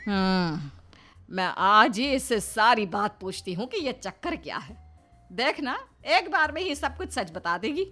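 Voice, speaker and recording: A woman's voice: mid-range voice, sing-song, a little slow, bright, forceful tone, soft background music, heavily acted. A woman voicing a stern, suspicious older mother-in-law in a story, emphatic and scolding.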